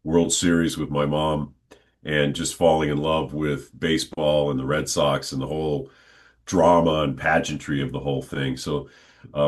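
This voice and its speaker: A man in his sixties, a guest on a podcast, telling stories from his childhood in an unhurried, conversational way. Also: very deep voice. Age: 60s. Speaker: interview guest, stadium announcer. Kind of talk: relaxed reminiscing conversation.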